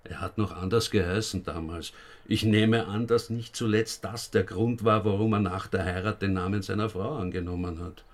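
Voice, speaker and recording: An actor playing a brisk, matter-of-fact police investigator with an Austrian accent in a radio drama, businesslike and insistent. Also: male voice, on the low side, steady tone, lightly acted. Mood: explaining, dry.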